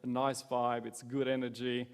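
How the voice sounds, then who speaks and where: A man's voice: medium pitch, monotone, normal speed, smooth, long reverb tail. A man in his thirties, born in Romania, being interviewed on a church stage as guest pastor, earnest with self-deprecating humour. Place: church stage before a congregation.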